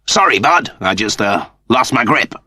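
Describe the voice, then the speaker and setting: A man's voice: medium pitch, lively intonation, moderate pace, pushed and bright, dry recording, studio quality. A man voicing a vain, cocky humanoid cat with a slangy, offhand manner in a sci-fi audiobook. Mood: sheepish.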